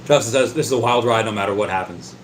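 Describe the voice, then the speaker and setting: A man's voice: fairly low pitch, fast talker, a little hiss or hum. A man hosting a casual livestream, reading out chat comments and rambling, often stammering and repeating his words. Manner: rambling, talkative. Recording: roomy echo.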